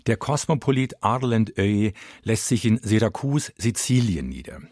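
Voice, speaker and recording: A man narrating a scripted radio feature as a reporter, speaking in a measured, even way into a studio microphone. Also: on the low side, dry recording.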